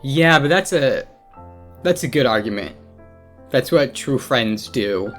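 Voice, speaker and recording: Male gaming streamer: unhurried, mid-pitched, informal reaction commentary with faint music behind. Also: animated, fairly bright tone. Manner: informal, mild swearing. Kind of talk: casual game reaction commentary.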